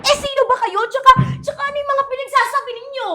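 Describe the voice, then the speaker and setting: A young woman playing a self-absorbed, bubbly livestreamer in a school stage play. Her very high voice has a Filipino accent and spills out breezy, exclamatory Taglish slang, with loud music behind it. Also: steady tone, fast talker. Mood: alarmed, confused. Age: teens to young adult.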